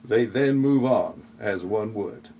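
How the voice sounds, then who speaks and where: A man's voice: on the low side, expressive, slow, mellow, a little hiss or hum. A man reading scripted comic narration for an audio play in a wry, flippant way.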